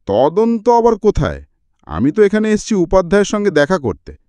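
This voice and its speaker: A man reading a self-assured, unflappable male detective in a story, with brisk, firm, questioning delivery. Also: high voice, huge pitch swings, warm tone, no echo, voice pushed up. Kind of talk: audiobook character dialogue.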